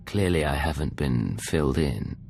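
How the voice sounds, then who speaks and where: Cool imperial spy, very low gravelly voice, clipped and controlled, game dialogue. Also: male voice, lively intonation, moderate pace, a little mellow, slight background noise, heavily acted. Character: cool, terse male imperial intelligence agent. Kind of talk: video game dialogue acting.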